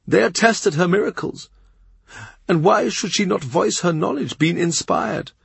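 A man's voice: high-pitched, a little slow, creaky, husky, no room sound, pitched above the performer's own voice, exaggerated voice. A man voicing a frail, elderly male bishop who speaks mildly and sadly in his own defence. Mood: mild, earnest. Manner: mild, pleading, sad. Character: frail old male bishop, mild and stubborn. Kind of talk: audiobook character reading.

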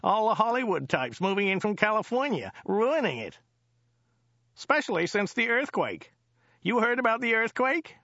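Rambling driver, high-pitched, nasal, whiny, oblivious, lively swoops, storybook character. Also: male voice, normal speed, no room sound, highs cut off, full character voice. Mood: chatty, grumbling. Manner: rambling, repetitive, whining. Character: talkative, oblivious male American driver from California. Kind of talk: audiobook character voice.

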